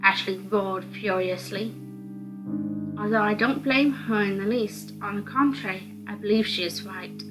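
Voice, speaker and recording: A woman's voice: medium pitch, measured pace, poor audio. A woman reading a visual novel game aloud as dramatic narration. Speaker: host of a visual novel read-through. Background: background music.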